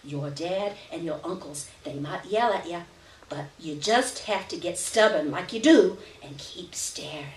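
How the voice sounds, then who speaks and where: A fierce, bossy mother played by a woman reading aloud lays down the law with emphatic, menacing commands. The voice is fairly low and unhurried, heard from a distance in an echoing room with some noise. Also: close to an everyday voice. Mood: coaxing, stern. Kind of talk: live short story reading. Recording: roomy, distant live reading with background noise.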